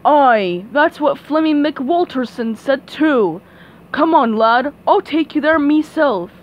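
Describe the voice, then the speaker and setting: A woman voicing a crusty male crab restaurant boss in a hobby cartoon dub, with gruff, ominous pirate diction. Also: high-pitched, expressive, slow, muffled recording.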